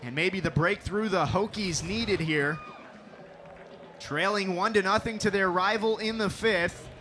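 A young male announcer with a high, bright-toned voice calls the game with crisp broadcast polish. His delivery climbs in energy on the big plays, and music runs underneath him. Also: measured pace. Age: young adult. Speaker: sports reporter and play-by-play announcer. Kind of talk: televised softball play-by-play.

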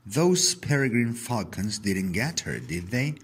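Man reading as excitable old grandad, husky. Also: on the low side, a little slow, voice pushed up, full character voice. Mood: indignant. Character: agitated sick old grandfather in hospital bed. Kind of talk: children's storybook read-aloud.

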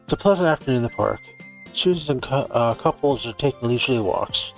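A man gives a casual playthrough of a visual novel. His voice is gravelly with fry at a middling pitch, and soft music plays behind him as he reads the text out. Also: animated, fast. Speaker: gamer playing and narrating a visual novel.

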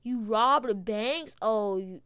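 A speaker giving excited, slangy gameplay commentary and urgent police roleplay into a close microphone. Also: mid-range voice, slow, smooth, dry recording. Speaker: gaming video host playing a police officer.

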